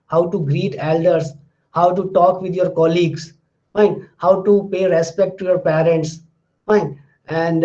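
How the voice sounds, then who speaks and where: A man's voice: sits high, dark tone, muffled recording. A man from India with an Indian English accent and Hindi as his first language, giving a methodical classroom lecture on linguistics and tagging his points with "fine". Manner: methodical, recaps terms, tags points with "fine".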